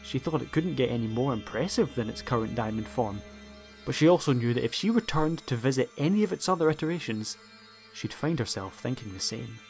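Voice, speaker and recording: A man's voice: mid-range voice, expressive, fairly quick, darkish tone. Male audiobook narrator over music. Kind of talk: scripted dramatic storytelling. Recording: music behind the voice.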